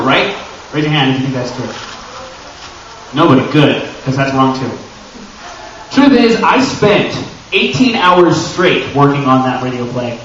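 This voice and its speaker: A man's voice: on the high side, a bit bright. A man giving an informal, joking live panel talk to an audience, with theatrical rhetorical questions. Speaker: convention panel presenter. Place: large echoey indoor panel room. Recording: echoey room from far off, muffled, noisy.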